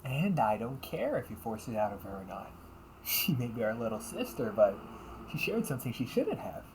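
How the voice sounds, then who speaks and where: Man playing a sarcastic, animated young delinquent brother. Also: medium pitch, normal speed, a little hiss or hum, poor audio. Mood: suspicious, sarcastic. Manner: casual, slangy, needling. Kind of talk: amateur audio roleplay acting.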